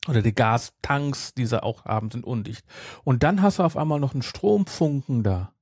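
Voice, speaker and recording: A man co-hosting a fan podcast in a casual, explanatory way. His voice is soft-edged and husky, recorded close and dry, as he presses on through the details. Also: medium pitch, lively intonation, normal speed, no room sound. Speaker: podcast co-host.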